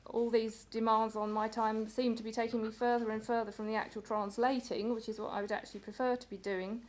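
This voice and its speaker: A translator speaking on a panel in a measured way, with occasional stumbles and repeated words. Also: female voice, fairly high pitch, level tone. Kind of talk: panel talk.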